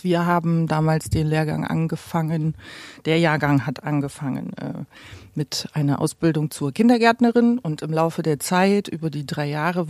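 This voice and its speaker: A woman in her late forties from eastern Germany speaks in a conversational, storytelling way. Her voice has plenty of fry and creak. The sound is dry and free of room echo. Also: low-pitched, darkish tone. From Gera, eastern Germany. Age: late 40s. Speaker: podcast guest. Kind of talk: conversational storytelling about own life.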